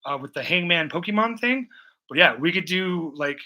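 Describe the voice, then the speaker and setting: A man in his forties talks casually to his stream chat while he paints, rambling from story to story with jokes and swearing. His voice sits a little high and sounds sharp and very bright. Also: expressive, normal speed. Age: 40s. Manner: rambling, profane and joking.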